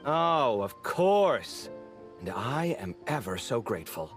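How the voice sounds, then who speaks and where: A man's voice: mid-range voice, very animated, slow, music in the background. A man voicing a dramatic, sociable young male architect in a video game, theatrical, sarcastic and easily exasperated. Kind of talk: video game character acting.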